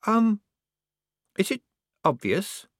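A man's voice: a little high, huge pitch swings, measured pace, no echo, studio quality, voice pushed up, heavily acted. A man voicing a nervous young woman disguised as a lad in an audiobook, hesitant and easily flustered. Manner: quavering, stammering, embarrassed, gruff when bluffing. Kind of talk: audiobook character voice.

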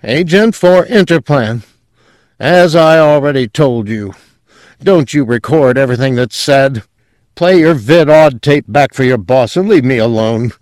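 A man's voice: medium pitch, a little slow, dry recording, pitched above the performer's own voice, lightly acted. A man voicing a hostile, sardonic male character under a truth drug, with a grating, drawling delivery in an audiobook. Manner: drawling, bitter.

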